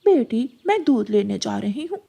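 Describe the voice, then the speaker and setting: A woman's voice: a little high, big pitch swings, measured pace, creaky, dark tone, full character voice. A woman voicing an imposing, commanding female eagle aunt in a cartoon story. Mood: calm.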